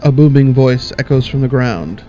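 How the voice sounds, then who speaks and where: A male narrator reads scene directions in a plain, matter-of-fact manner. His pitch is mid-range and even. The recording sounds dull and lo-fi. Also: moderate pace, soft background music. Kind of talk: scripted narration. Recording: lo-fi fan audio drama.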